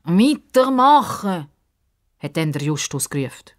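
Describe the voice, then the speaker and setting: A woman's voice: sits low, normal speed. A woman with a Swiss accent narrating a children's story expressively.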